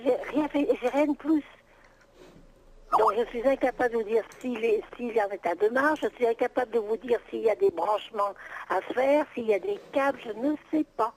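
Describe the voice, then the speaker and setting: Exasperated high-voiced woman on a noisy call. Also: normal speed. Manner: exasperated, insistent, repeating herself. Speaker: private seller answering a phone call about an ad. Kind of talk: unscripted phone conversation.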